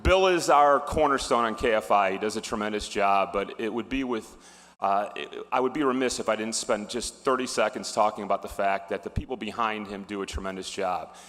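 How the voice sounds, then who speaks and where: A man's voice: on the low side, a little fast, fairly bright tone. A man giving a wry tribute speech at an awards ceremony, with fillers and restarts. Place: large ballroom. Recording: reverberant hall.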